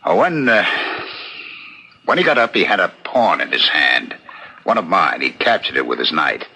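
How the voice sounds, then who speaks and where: An actor playing a puzzled, loyal friend recalling events in a 1970s radio mystery, hesitant and ruminative. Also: male voice, sits low, big pitch swings, moderate pace, sharp tone, husky, heavily acted, low-quality recording. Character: puzzled male witness, loyal friend, thinking aloud. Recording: old radio broadcast recording.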